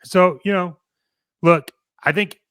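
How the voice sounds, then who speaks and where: A man's voice: high-pitched, steady tone, slow, fairly bright tone, smooth, no echo, clean studio sound. A man co-hosting a casual talk show about toy collecting, speaking in a blunt, opinionated way.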